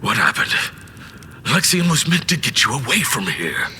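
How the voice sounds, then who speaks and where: A man playing an anguished, devoted warrior in a fantasy audio drama, halting and grief-stricken. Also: medium pitch, big pitch swings, bright, forceful tone, a little hiss or hum. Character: anguished, deep-voiced warrior lover.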